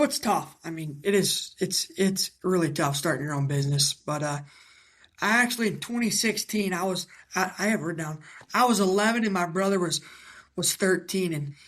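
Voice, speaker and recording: A man in his twenties from Iowa with a raspy voice, answering interview questions in a halting, casual way with many fillers. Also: high-pitched, fairly bright tone. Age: 20s. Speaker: guest, young cattle breeder.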